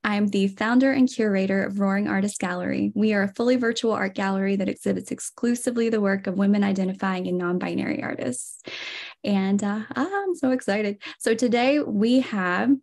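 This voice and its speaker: A woman's voice: medium pitch, darkish tone. A woman in her thirties, an enthusiastic host introducing artists on a video call from a room at home. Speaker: gallery curator and host. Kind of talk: welcoming introduction, reading artist bios.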